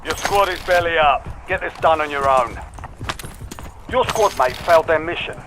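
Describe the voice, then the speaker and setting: An actor playing a calm male military radio announcer, giving terse, matter-of-fact game callouts. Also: on the high side, normal speed, background noise, natural voice. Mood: brisk.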